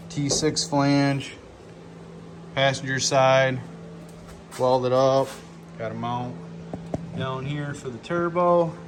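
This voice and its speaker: A man giving a casual, plainspoken hands-on walkthrough as a car builder, thinking aloud in an informal way. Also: medium pitch. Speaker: car builder hosting a fabrication video. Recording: noisy handheld shop video, ambient noise.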